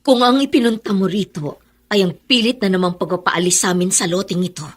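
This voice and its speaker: A woman's voice: medium pitch, fairly quick, breathy edge, lightly acted. An actress playing a righteous, unyielding middle-aged widowed mother in a radio drama, firm and declamatory. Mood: cold, wary.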